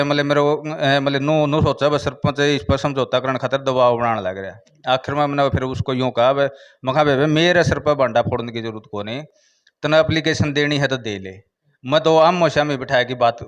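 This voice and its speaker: A man with a Haryanvi accent, a village head giving an informal interview account, haltingly, with repeated filler phrases. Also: medium pitch, clear, resonant tone.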